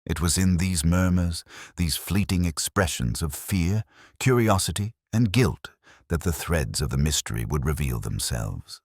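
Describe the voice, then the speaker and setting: A man with an extremely low voice narrates a mystery story in measured, literary fashion. His pitch moves in lively swells and dips across each phrase. The recording is dry and close, with little sense of a room. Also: husky, no room sound. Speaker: audiobook narrator.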